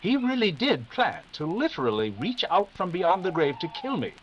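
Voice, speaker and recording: A man's voice: high voice, very animated, moderate pace. Man as a self-assured radio-play detective, formal, authoritative, swooping intonation, slightly noisy dull audio. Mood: explaining. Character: brisk, commanding, self-assured male detective. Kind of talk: 1940s radio mystery acting.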